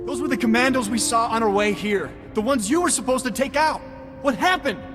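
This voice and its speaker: An actor playing an embittered, loyal soldier of a prisoner commando unit in a video game, intense and emotional. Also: male voice, very high-pitched, a little fast. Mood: angry. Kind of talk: video game dramatic dialogue. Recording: long reverb, music underneath.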